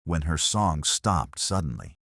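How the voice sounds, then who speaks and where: Deep guy reading a fairy tale audiobook, calm and measured but really lively intonation, super dry close sound. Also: creaky, no room sound. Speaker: audiobook narrator.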